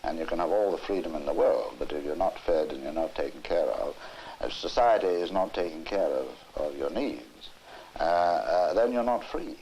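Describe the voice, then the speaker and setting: A man speaking as a lecturer in an earnest interview, emphatic but halting, often repeating words as he goes. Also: extremely low pitch, expressive, warm tone. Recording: hissy, noisy 1960s film soundtrack.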